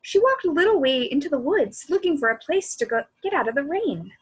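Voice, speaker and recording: A woman reading a storybook aloud to children in an expressive, animated way, speaking directly to her young listeners. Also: sits high, fairly quick, rough recording.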